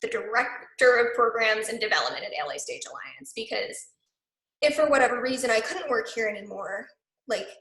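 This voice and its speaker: A young woman speaking in a loose, conversational way, filling her sentences with "like." Her voice is slightly high and very bright. It sits in a roomy, echoing space. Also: animated, a little fast. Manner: chatty, many "like" fillers. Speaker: arts organization program director. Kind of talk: casual online talk.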